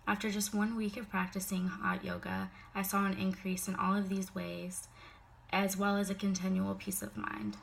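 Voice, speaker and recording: A woman's voice: mid-range voice, little pitch movement, moderate pace, creaky, a little hiss or hum. A young woman giving a prepared class speech, a little sick so her voice sounds slightly off, mostly recited with occasional casual fillers.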